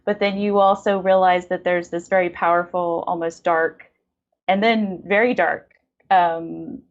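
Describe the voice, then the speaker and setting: A woman's voice: lowish voice, steady tone, a little slow, lo-fi sound. A woman interviewing in a casual literary conversation, speaking in an effusive, affirming way. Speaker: podcast interviewer.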